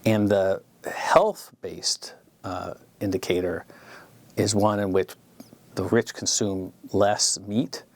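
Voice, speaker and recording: A man with a very deep voice that has a heavy gravelly edge. He speaks in a measured, explanatory way as a conference expert, laying out his points one by one. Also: dry recording. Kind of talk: interview remarks.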